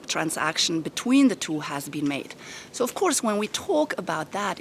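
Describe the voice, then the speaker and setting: A woman with a rough voice, giving upbeat step-by-step business advice to camera from a garden outdoors. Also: fairly low pitch, slight background noise. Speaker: business coach. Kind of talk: casual talk to camera. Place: garden of a private villa, outdoors.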